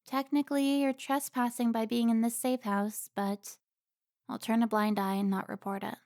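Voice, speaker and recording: A woman's voice: a little high, level tone, moderate pace, darkish tone, no echo, studio quality, close to an everyday voice. A woman playing a stern, protective member of a vampire slayer guild in a cinematic audio roleplay, commanding and scolding.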